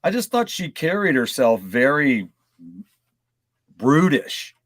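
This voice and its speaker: A man's voice: a little high, sing-song, slow, no echo. Casual man chatting, close dry sound. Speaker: co-host of a gaming live stream. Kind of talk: casual panel chat.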